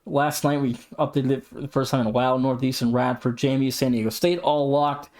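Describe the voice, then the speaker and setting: A man's voice: medium pitch, level tone, a little fast, darkish tone. A man co-hosting a sports podcast, commenting casually with an announcer-like, emphatic way of repeating himself. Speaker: podcast co-host.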